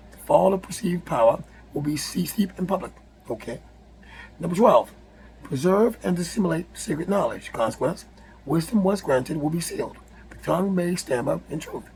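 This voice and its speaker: A man with a raspy, hoarse voice, reading a numbered list aloud with casual asides, often stumbling and rereading words. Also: a little high, darkish tone, slight background noise, rough recording. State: hoarse from yelling. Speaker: spiritual video host.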